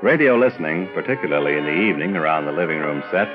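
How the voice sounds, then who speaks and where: Older man, low deep voice, scripted documentary narrator, reminiscing, music bed, slightly muffled sound. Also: animated, fast talker.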